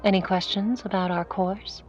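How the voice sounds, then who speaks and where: An actress playing an ambitious, hard-boiled young woman of the 1950s in a vintage audio drama, speaking deliberately and defiantly. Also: mid-range voice, fairly even intonation, a little mellow, lo-fi sound, soft background music, close to an everyday voice. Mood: determined.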